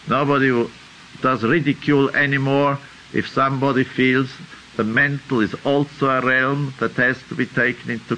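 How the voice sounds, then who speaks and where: An older Swiss-accented man teaches a class in a sharp, very bright voice. He talks very slowly and deliberately, working his ideas out aloud. He often checks in with a questioning "huh?". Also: mid-range voice, a little hiss or hum, rough recording. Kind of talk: unscripted lecture.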